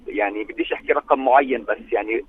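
A man calls in with a firm opinion, stumbling and restarting as he pushes his point. He talks quickly at a middle pitch. The call comes through a thin, phone-like line. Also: breathy edge. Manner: emphatic, halting with restarts. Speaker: caller.

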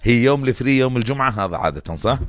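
A man's voice: mid-range voice, lively intonation, very fast, slight background noise. A man with a Jordanian accent hosting a casual radio phone-in, playful, interjecting and often repeating himself. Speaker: radio host taking calls on a morning show.

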